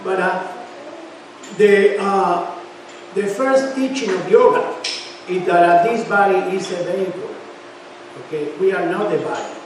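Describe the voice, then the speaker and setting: Man lecturing in an echoey temple hall. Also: high-pitched. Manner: halting, many fillers; leads call-and-response chanting. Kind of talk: sermon. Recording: echoey hall, mic at a distance, noisy.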